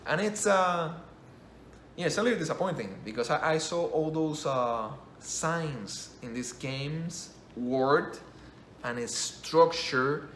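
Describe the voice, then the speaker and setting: A man's voice: on the high side, animated, slow, fairly bright tone, slight background noise. Rambling man, non-native English speaker. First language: not English. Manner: rambling, self-correcting, enthusiastic. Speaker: game commentator. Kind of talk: casual spoken review. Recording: echoey room, faint ambience.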